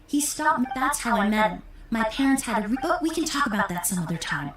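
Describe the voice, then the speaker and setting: A woman voicing a composed young perfumer and investigator in a video game, speaking in a measured, formal, precise way. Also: a little high, lively intonation, fairly bright tone. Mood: measured, earnest. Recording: echoey room sound.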